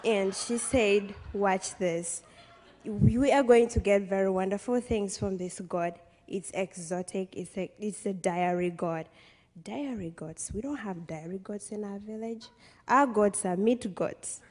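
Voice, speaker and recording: A woman's voice: medium pitch, measured pace, a little mellow. A young woman with a Ugandan accent giving an earnest, emphatic personal speech to an audience from a podium. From western Uganda. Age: young adult. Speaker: guest speaker, college student. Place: stage in a large hall. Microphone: podium microphone. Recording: long hall reverb from podium PA.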